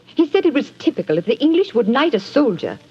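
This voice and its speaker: Anguished proud wife, breathy husky voice, swooping pleading delivery, radio melodrama, muffled old recording. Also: female voice, sits high, very animated, quick pace, dark tone, heavily acted. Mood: indignant, anguished. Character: distressed, proud wife of a missing actor. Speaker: actress in a radio drama. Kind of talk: 1940s radio acting.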